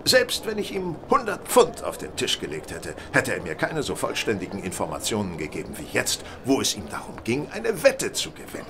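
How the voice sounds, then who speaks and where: An actor playing a shrewd, self-assured gentleman detective in an audio drama, polite and measured in manner. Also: on the low side, huge pitch swings, noisy background. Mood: knowing, musing. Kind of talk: German audio drama acting.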